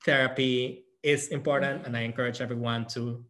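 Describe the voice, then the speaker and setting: Halting, thoughtful man from Guatemala. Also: medium pitch, flat delivery, fairly bright tone. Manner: halting, thoughtful, many fillers and restarts. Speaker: conference session co-presenter. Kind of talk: casual conference Q&A answers.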